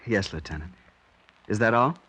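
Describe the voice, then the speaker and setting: An actor playing an earnest, lovestruck young French resistance fighter with a French accent in an old-time radio drama. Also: male voice, fairly low pitch, animated, normal speed, sharp tone, slight background noise, rough recording. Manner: earnest, impulsive, deferential. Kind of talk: 1940s-50s radio drama acting.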